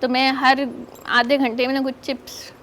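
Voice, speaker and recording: A woman's voice: high-pitched, little pitch movement, moderate pace, fairly bright tone, slight background noise. A woman dentist, explaining patiently on a studio morning show and slipping English phrases into her Urdu. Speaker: dentist guest.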